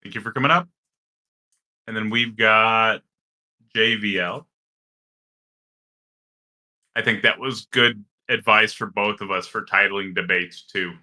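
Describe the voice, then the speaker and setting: A man moderating the show in a low-key way, trailing off between callers. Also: pushed and bright.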